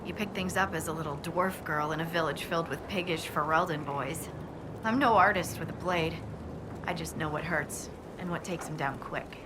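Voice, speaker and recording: Woman acting young female dwarf archer, wry, chatty, lowish voice, video game, noticeable background ambience. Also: moderate pace, natural voice. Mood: playful. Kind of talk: natural video game dialogue. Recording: clear background ambient noise.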